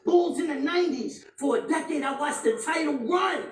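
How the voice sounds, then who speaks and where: A woman performing aggressive battle rap, shouting her bars and repeating punchlines at a live event. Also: sits high, moderate pace, a little hiss or hum. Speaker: battle rapper. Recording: echoey live venue, mic from far off.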